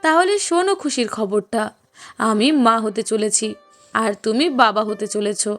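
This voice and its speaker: A woman voices a caring young wife in a cartoon family drama. Her voice is high and sweet, with a coaxing, emotive delivery, over a music bed. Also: a little slow, a bit bright. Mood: happy. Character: loving young wife, expectant mother, caring and anxious. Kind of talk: cartoon dialogue acting.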